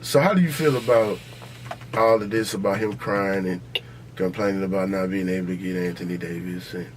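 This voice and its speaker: A man's voice: low voice, brisk, slight background noise. A man co-hosting a podcast, bantering casually and bluntly in slang about music and sports, repeating himself for emphasis. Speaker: podcast host.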